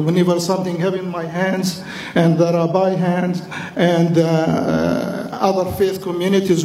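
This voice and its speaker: A man's voice: high voice, mellow. A man from the Middle East, a chapter councilor, giving an earnest public comment at a floor microphone in a large meeting hall, in slightly non-standard English. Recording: echoey hall, distant mic.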